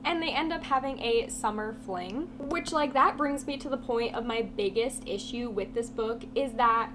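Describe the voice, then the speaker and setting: Stuffy-nosed woman with a cold, a bit high, talking frankly and casually over a constant hum. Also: moderate pace. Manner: chatty and blunt, many "like" fillers. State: congested with a cold, voice partly lost. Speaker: book reviewer YouTuber. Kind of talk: casual book review vlog. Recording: steady humming background noise.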